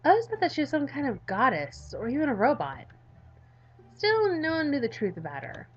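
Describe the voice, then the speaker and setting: A woman's voice: sits high, huge pitch swings, a little fast, muffled recording, soft background music. A woman with a cold giving theatrical, playful let's play commentary as she reads a visual novel aloud. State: has a cold, coughing. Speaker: gaming video host.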